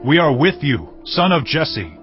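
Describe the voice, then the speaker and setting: A man's voice: on the high side, big pitch swings, unhurried, lo-fi sound. A man playing a fervent, loyal warrior chief, proclaiming with passion in a dramatized scripture reading. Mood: fervent pledge. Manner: impassioned, proclaiming. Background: background music.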